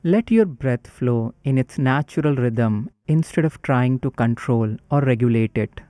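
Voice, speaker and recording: Male meditation guide, warm dark tone, unhurried calm instruction. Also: mid-range voice, no echo, clean studio sound.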